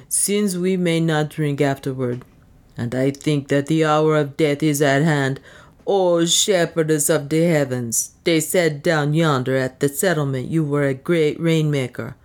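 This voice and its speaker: A woman's voice: low-pitched, a little slow, a little mellow. A woman voicing a humble, fatalistic, hard-drinking male dwarf in an audiobook, with formal phrasing.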